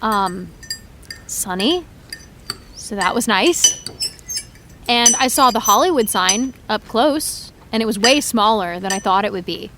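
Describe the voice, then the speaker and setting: A woman's voice: mid-range voice, expressive, very noisy. An actress playing a young woman about to start college, evasive and sarcastic when her parents press her. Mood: awkward. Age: young adult. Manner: hesitant, deflecting, quick comebacks. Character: evasive teenage girl, awkward and sarcastic. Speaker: actor playing a college-bound daughter. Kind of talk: audio drama acting.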